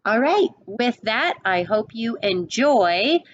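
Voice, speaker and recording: A middle-aged woman reading a picture book aloud expressively, with dramatic pauses and explanatory asides. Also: fairly high pitch, very animated, lo-fi sound, slight background noise.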